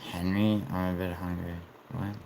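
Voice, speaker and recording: A man's voice: sits low, level tone, moderate pace, gravelly, darkish tone, a little hiss or hum, rough recording. A young man hosting a casual livestream, rambling, swearing and reading viewer chat aloud. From born in Italy, raised in New York City. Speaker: livestream host.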